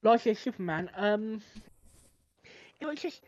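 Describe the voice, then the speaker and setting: A man's voice: high-pitched, lively intonation, unhurried, a little mellow, muffled recording. A man co-hosting a podcast in casual chat, tentatively searching for his words. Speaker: podcast co-host.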